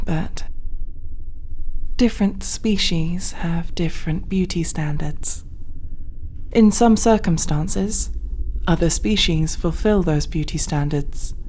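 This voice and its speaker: A woman voicing a reserved, formal alien in a sci-fi ASMR roleplay, speaking softly in measured, precise phrases with pauses. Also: lowish voice, slight background noise. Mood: gentle, formal. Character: reserved, formal female alien, last of a telepathic race. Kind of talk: ASMR roleplay, soft scripted acting.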